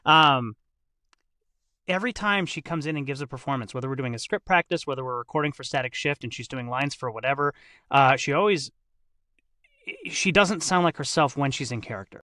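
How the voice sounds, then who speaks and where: A man with a medium-pitched, bright voice hosts a casual interview. He speaks effusively and haltingly, searching for the right word. The recording is very dry. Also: expressive, dry recording. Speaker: podcast host.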